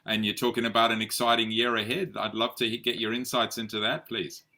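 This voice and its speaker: A man's voice: on the low side, flat delivery, fairly quick, sharp tone. A middle-aged man hosting an interview podcast, polite and friendly, often repeating words as he starts a sentence. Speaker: podcast host.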